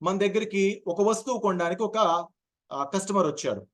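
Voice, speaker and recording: Male trainer, high voice, explanatory, question-and-answer delivery, repeats for emphasis. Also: normal speed. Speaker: voice modulation trainer. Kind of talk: informal teaching with acted everyday examples.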